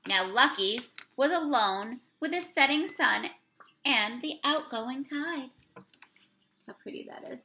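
Bright, creaky young woman reading to children. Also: high-pitched, slight background noise. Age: young adult. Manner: expressive, drawn-out words, asks the listeners questions. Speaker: teacher reading a picture book aloud. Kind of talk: read-aloud storytelling for children, talking through pictures. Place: small room indoors.

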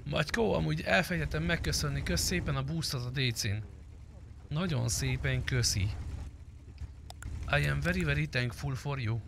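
A man's voice: on the high side, gravelly. A man talking casually with others during an online role-play game. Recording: noisy game audio with car engines. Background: game car and engine sounds.

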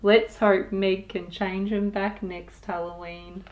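A woman in her thirties reading a picture book aloud to young children, expressive and deliberate, as a storytime host. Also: medium pitch, dull audio, slight background noise. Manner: expressive, deliberate, with sound effects and asides to listeners.

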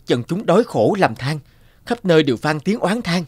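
An earnest, high-voiced young nobleman. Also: sing-song, normal speed, husky, dry recording, studio quality. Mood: pleading, earnest. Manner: earnest, formal and respectful. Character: upright, loving young royal son-in-law official, earnest husband. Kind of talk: animated folk-tale dialogue.

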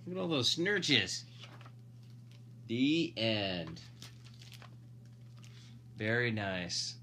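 A man's voice: on the low side, sing-song, very slow, bright, forceful tone, a little hiss or hum. A dad reading a picture book aloud expressively, drawing out emphasized words and adding playful, casual asides to his listeners. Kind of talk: animated storybook read-aloud with casual asides.

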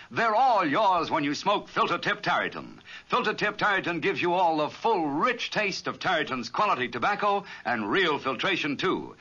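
A man's voice: high-pitched, sharp tone, breathy edge. An American man reading a scripted advertisement in 1950s radio style. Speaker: commercial announcer. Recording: dull vintage radio recording.

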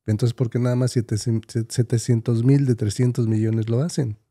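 A skeptical Mexican man in his thirties counters his co-host with examples and statistics. His voice is gravelly and dark-toned. It is recorded dry on a close studio mic. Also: medium pitch, little pitch movement, normal speed, dry recording. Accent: Mexican Spanish. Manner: skeptical, reasoning with examples, laughs often. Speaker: podcast co-host. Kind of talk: heated casual debate. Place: small studio with foam panels. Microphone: studio mic, headphones.

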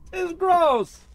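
Creaky-voiced man, animated gamer. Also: very high-pitched, no echo. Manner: rambling, jokey, repeats himself. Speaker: gamer narrating a playthrough. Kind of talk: casual gameplay commentary.

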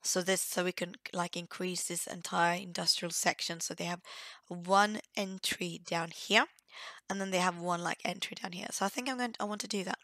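Hoarse woman with a bright voice. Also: lowish voice, steady tone, moderate pace, dry recording. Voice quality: croaky from a cold. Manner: hesitant, thinking aloud. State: hoarse with a cold. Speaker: gaming video host. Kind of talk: casual let's play commentary.

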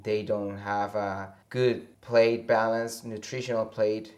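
A young man from Venezuela with a Venezuelan accent, a native Spanish speaker answering interview questions haltingly, searching for words, on a lapel mic indoors. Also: lowish voice, flat delivery, darkish tone. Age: young adult. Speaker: interview guest. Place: indoor room with a fireplace, seated.

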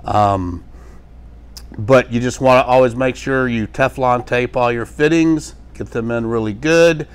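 A man's voice: mid-range voice, measured pace, clean tone. Casual middle-aged man demoing in a workshop. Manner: casual and rambling, with many fillers and restarts. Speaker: pressure washing instructor. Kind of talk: hands-on how-to demonstration. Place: small workshop with tool shelves, indoors.

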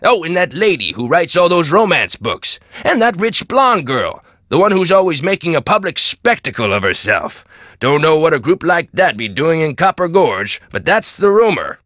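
Folksy rural american gossip, highish voice that swoops around, rambling yarns, game character. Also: male voice, normal speed, dry recording, studio quality. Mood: gossipy. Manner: folksy, rambling, animated storyteller. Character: folksy, garrulous small-town American man telling yarns. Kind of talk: video game dialogue.

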